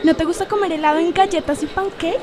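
A woman's voice: very high voice, steady tone, quick pace. A woman playing a spoiled, posh, snobbish young woman in a radio soap, with a whiny manner and a Colombian accent. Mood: indignant, whiny. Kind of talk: radio soap opera acting. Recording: crowd chatter behind the voice.

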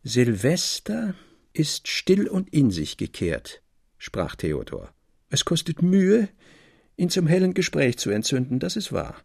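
A man's voice: fairly high pitch, sing-song, unhurried, gravelly, darkish tone, breathy edge, no room sound, clean studio sound. A man reading scripted literary narration in the manner of an audio play.

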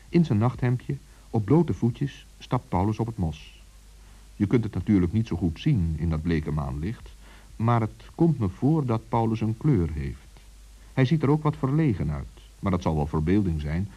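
Male children's storyteller: low, dark and husky, intimate, talking straight to the listener. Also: highs cut off. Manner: intimate, addresses listeners and characters directly.

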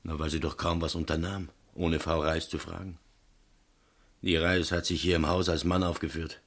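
A man's voice: sits low, level tone, moderate pace. A man playing a matter-of-fact electrician in a radio crime drama, explaining things precisely in short emphatic statements. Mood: curious. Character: matter-of-fact male electrician and shop owner, master of apprentices.

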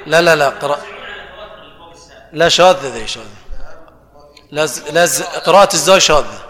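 A man's voice: a little high, expressive, normal speed, a bit bright. A man moderating a panel discussion. He talks animatedly and draws out the verses he recites. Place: discussion forum in a hall. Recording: reverberant hall sound.

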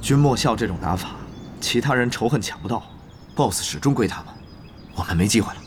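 An actor playing an earnest, analytical guild leader from an online game in an audio drama. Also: male voice, mid-range voice, lively intonation, brisk, background noise, close to an everyday voice. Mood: defeated, brooding. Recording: loud music behind the voice.

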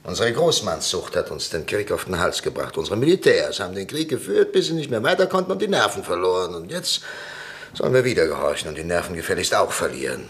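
Bitter professor, low voice that swings all over, scornful, radio play. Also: male voice, very animated, quick pace. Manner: sharp, scornful arguing. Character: stubborn middle-aged German professor, bitter. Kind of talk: 1960s radio play acting.

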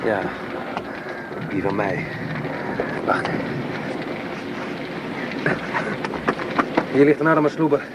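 A man's voice: mid-range voice, expressive, unhurried, natural voice. Man acting an anxious soldier, very noisy recording. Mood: grim, protective. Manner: urgent, pleading, protective, in short plain phrases. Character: anxious, tender male soldier of peasant origin, protective friend. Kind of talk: Dutch radio play acting. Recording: very noisy old radio play recording.

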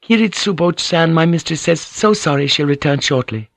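Man playing a high-voiced deferential maid. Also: expressive, moderate pace, a bit bright, dry recording. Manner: deferential, formal, apologetic. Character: polite, dutiful, proud young maidservant.

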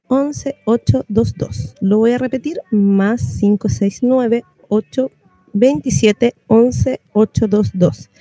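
A Chilean radio host with a relaxed, dark-toned voice talks in an informal, chatty way. The delivery is that of a casual community radio interview, recorded close and dry with very little room sound. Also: mid-range voice, no echo.

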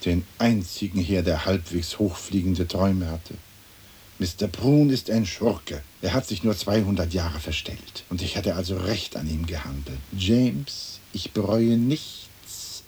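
Haughty aristocrat, husky voice, slow declamation, indignant and vengeful, theatrical radio drama. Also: male voice, deep voice, a little mellow, exaggerated voice. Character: haughty, indignant lord turning into a bird, vengeful. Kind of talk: stylized German radio play acting.

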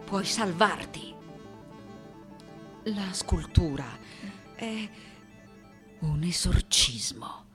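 Eccentric woman artist, passionate, radio drama monologue, bright voice, slow deliberate delivery, theatrical emphasis. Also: on the low side, lively intonation, faint music behind, exaggerated voice. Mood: dark, subdued. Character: passionate, eccentric woman sculptor reminiscing about New York. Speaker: actress in a radio play.

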